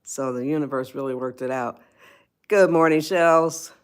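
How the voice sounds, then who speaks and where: A woman's voice: low-pitched, normal speed, smooth. An 81-year-old woman, hosting a live stream and giving advice. She rambles, repeats herself and greets viewers by name. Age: 80s. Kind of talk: spontaneous livestream talk.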